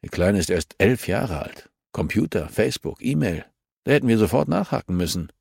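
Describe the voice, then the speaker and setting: A man's voice: lowish voice, big pitch swings, moderate pace, gravelly, darkish tone, husky, no room sound, studio quality, heavily acted. A man voicing an eager, slightly defensive male police investigator in an audiobook, plain and factual. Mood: impatient.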